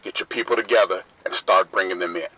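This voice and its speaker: An actor playing a police officer calling over the radio, giving brisk commands. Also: male voice, sits low, fairly even intonation, bright, forceful tone, breathy edge, full character voice. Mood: urgent. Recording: lo-fi radio transmission.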